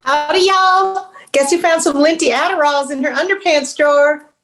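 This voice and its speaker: A woman's voice: high-pitched, normal speed, fairly bright tone. A woman playing a brash, boozy forty-year-old beauty with a showy drawl, in a screenplay table read. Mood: brassy, playful.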